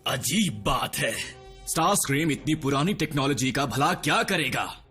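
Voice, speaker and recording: An actor, a man, voicing an alert, practical robot team member in an animated series dub. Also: mid-range voice, normal speed, faint music behind. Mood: puzzled.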